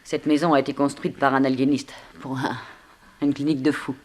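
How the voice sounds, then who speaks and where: A woman's voice: extremely low pitch, level tone, brisk, a little mellow. An actress playing an anxious young captive woman, earnest and fretful, in a 1960s French radio serial. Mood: anxious, subdued.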